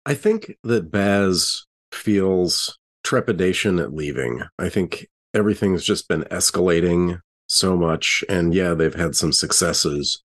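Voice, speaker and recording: A man's voice: sits low, animated, takes it slowly. A man talking casually as a player in a tabletop roleplaying game, improvising what his character does.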